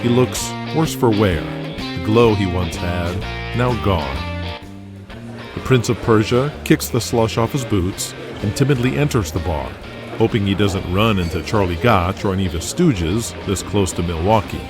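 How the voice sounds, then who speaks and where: An American man narrates an audio drama in a fairly low voice. His delivery is scripted and dramatic, with very prominent music underneath. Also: moderate pace.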